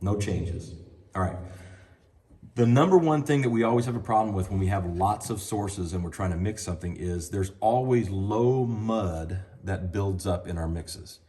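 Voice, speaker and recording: A man with a slightly low voice walks a small group through mixing sound at a church mixing desk. He talks into a handheld mic in a relaxed, explanatory way, pausing often to check that they follow. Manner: casual, explanatory, speaking into a handheld mic while adjusting a mixing console. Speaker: audio trainer. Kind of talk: hands-on sound desk tutorial. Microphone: handheld microphone.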